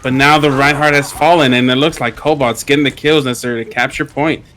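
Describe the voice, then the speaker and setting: Male play-by-play esports caster. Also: mid-range voice. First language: not English. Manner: fast, excited calling of the action. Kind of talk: play-by-play commentary.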